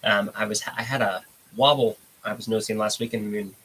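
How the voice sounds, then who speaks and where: A man with a blocked, stuffy nose hosts a casual live stream, chatting in a rambling, unhurried way. His voice sits a bit low. A faint hiss runs under the recording. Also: fairly even intonation, fairly bright tone, rough recording. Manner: laid-back, rambling, reads out chat comments. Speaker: livestream host.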